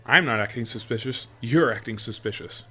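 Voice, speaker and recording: A man's voice: mid-range voice, brisk, pushed and bright, husky, faint music behind. A man playing an affectionate, playful boyfriend in a roleplay audio drama, talking in a teasing, coaxing way. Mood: insistent, teasing. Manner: teasing, chuckles often, coaxing. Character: affectionate playful boyfriend spoiling his sweetheart with surprises.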